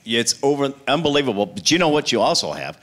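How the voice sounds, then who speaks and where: A man's voice: medium pitch, very animated, fast, fairly bright tone. A middle-aged man, a regular on the show, talking up his business with salesy enthusiasm on a studio talk set. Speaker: store owner, show regular. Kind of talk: casual promotional chat. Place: diner-style TV studio set with audience. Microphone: handheld mic.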